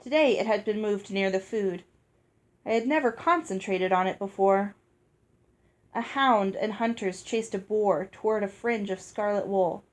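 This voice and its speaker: Woman reading a storybook aloud, medium pitch. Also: a little slow. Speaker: audiobook reader. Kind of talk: expressive scripted storybook narration.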